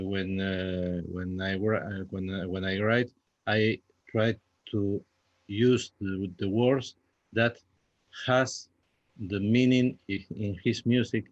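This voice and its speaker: A man with a Spanish accent speaking halting English, searching for words as he answers as a playwright in a Q&A. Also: fairly low pitch, no echo, lo-fi sound. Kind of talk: Q&A discussion.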